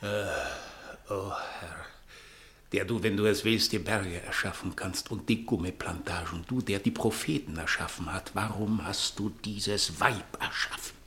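An actor playing a grumbling, suspicious old innkeeper in a vintage German radio play, theatrical, exclamatory and full of self-pity. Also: male voice, deep voice, measured pace, pushed and bright. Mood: plaintive. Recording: vintage 1960 radio drama recording.

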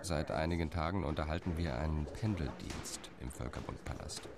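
A man's voice: rumbling low, moderate pace, vocal fry, noisy background. A man playing a determined partition lobbyist. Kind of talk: radio play monologue.

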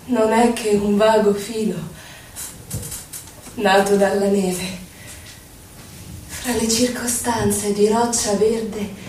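An actress playing a grieving, lyrical poetic figure, reciting dramatically and lingering on each phrase. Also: female voice, on the high side, darkish tone. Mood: contemplative. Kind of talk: theatrical poetry recitation. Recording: very echoey, distant, faint noise.

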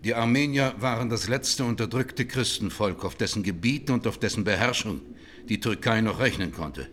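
An actor as an earnest, lowish-to-middle courtroom speaker. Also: male voice, a bit bright, slight background noise, close to an everyday voice. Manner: formal, rhetorical. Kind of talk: radio drama courtroom speech.

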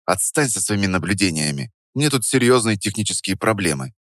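A man's voice: on the low side, very animated, brisk, a bit bright, no echo, studio quality. A man voicing a grumpy, curt young man who mutters his lines in an audiobook. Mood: irritated. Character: grumpy young man, self-deprecating cook and hero. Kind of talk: audiobook character voice.

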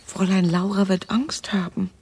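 An actress playing a fussing, caring governess in a radio drama, with a creaky, breathy voice. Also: female voice, mid-range voice, steady tone, moderate pace, darkish tone, no room sound, lightly acted. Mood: caring, concerned. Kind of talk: German radio play acting.

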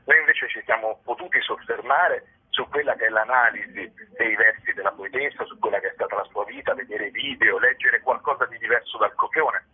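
A man's voice: medium pitch, a little fast, hardly any pauses, slightly rough. A man giving articulate, wordy interview answers as a playwright, with literary phrasing, heard through a muffled, band-limited connection. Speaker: playwright and director being interviewed. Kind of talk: articulate spoken interview answers about theatre. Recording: muffled band-limited radio phone-style sound.

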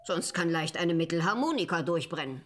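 A woman's voice: on the low side, lively intonation, a little fast, a bit bright. An actress playing an eccentric, self-assured woman scientist in a radio drama, with a formal, learned way of talking. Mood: confident, brisk. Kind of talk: German radio drama acting.

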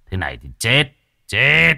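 A man voicing a boastful, scruffy aging male sorcerer who curses and mutters gruffly. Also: mid-range voice, wildly swooping pitch, a little slow, pushed and bright, dry recording, clean studio sound, voice pushed up, exaggerated voice. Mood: alarmed muttering.

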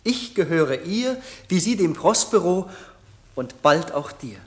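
Slow, tender young prince. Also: male voice, a little high, sing-song. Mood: earnest. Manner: tender, earnest. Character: ardent, devoted young prince in love. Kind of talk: German classic radio play, theatrical.

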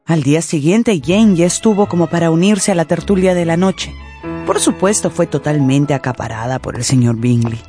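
A woman narrating a scripted radio drama in an expressive storytelling manner. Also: deep voice, normal speed, soft background music.

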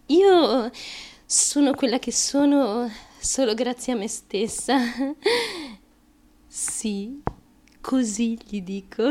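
A slow, high-voiced, fame-hungry young woman. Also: a little mellow. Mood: proud, defiant. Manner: deliberate, halting, repetitive, emphatic. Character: fame-hungry young woman, fiercely determined, confessional and fragile. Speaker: stage actress. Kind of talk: intense theatrical monologue, confessional stream of consciousness.

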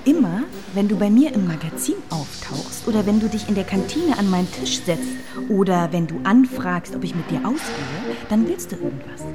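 Wry, sceptical woman played by an actress, mid-pitched. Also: animated, normal speed, music in the background, lightly acted. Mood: wry, accusing. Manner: sardonic, direct. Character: wry, sceptical, teasing female librarian. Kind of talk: radio drama dialogue.

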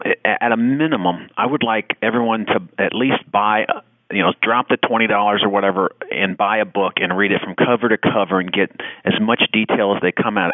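Podcast host guy, casual n a bit halting, lowish voice, tinny dry phone sound. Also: dry recording. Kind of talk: casual interview.

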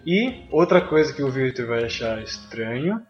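A man's voice: mid-range voice, big pitch swings, normal speed. A man with a Brazilian accent chatting casually as a podcast co-host. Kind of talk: casual chat. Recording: dull, echoey room recording. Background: faint music.

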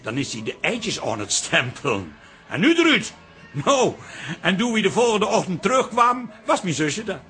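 A man's voice: sits high, sing-song, moderate pace, sharp tone, faint music behind, heavily acted. An actor playing a flustered, earnest middle-aged man raised on a farm, rambling in a radio comedy-drama. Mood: rambling storytelling.

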